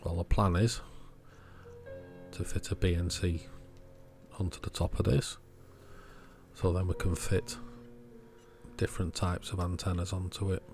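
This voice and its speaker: A man's voice: sits low, little pitch movement, a little slow, long pauses. A man giving a casual, step-by-step voice-over for a repair video in an understated, matter-of-fact way. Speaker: host of an electronics repair channel. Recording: music behind the voice. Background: background music.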